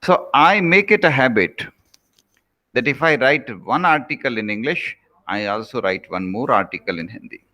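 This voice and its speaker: A man's voice: mid-range voice, lively intonation, normal speed, bright, forceful tone. A man with an Indian accent, a native Rajasthani speaker, giving an earnest recorded lecture as a professor, often repeating his key points.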